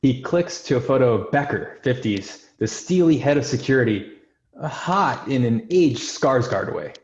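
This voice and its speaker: A man's voice: mid-range voice, expressive, moderate pace, a little mellow. A man reading out stage directions as scripted narration at a screenplay table read. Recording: echoey, muffled video call audio.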